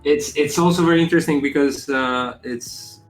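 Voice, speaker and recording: A man's voice: on the high side, moderate pace, echoey room, soft background music, poor audio. A man game developer chatting casually on a live stream, rambling with lots of "like" fillers and the odd swear word.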